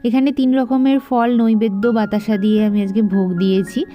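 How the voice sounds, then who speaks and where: A woman's voice: a little high, brisk, lo-fi sound, faint music behind. A young woman with a West Bengali accent narrates a casual daily vlog, talking to viewers in a chatty, familiar way and mixing in English words. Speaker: vlogger.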